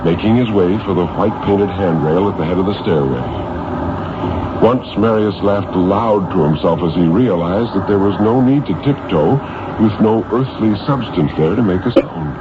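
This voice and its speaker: Male narrator, deep register, dramatic vintage radio horror reading; dull, noisy archival sound. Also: normal speed. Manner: theatrical, suspenseful delivery. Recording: noisy, muffled 1950s radio recording.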